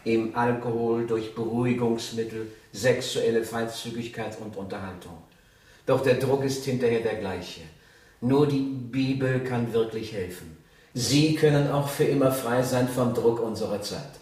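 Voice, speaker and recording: Ein Schauspieler spielt in einem Krimi-Hörspiel einen feierlichen religiösen Prediger an der Haustür, gemessen und rhetorisch. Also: male voice, medium pitch, darkish tone, lightly acted. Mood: fervent. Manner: rhetorical, measured, preaching. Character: solemn door-to-door religious preacher reciting doom and salvation. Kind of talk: German radio crime play acting. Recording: very echoey, distant from mic.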